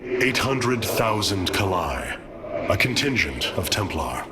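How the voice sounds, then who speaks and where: An actor playing a noble, thoughtful alien leader in a video game, with stately, formal speech. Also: male voice, low voice, sing-song, slow, heavy background noise, heavily acted. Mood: solemn. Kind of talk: dramatic video game voice acting.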